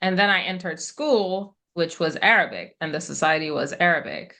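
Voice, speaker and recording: A middle-aged woman who speaks Persian natively, a guest answering interview questions in a thoughtful, hesitant way with many pauses. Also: fairly low pitch, sharp tone. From Middle East. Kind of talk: relaxed conversational interview.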